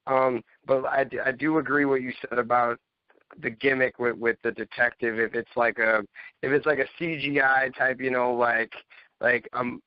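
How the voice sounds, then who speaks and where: A man's voice: medium pitch, clear, resonant tone, no echo, highs cut off. A man co-hosting a podcast, giving casual opinions in a rambling, repetitive way. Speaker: podcast co-host.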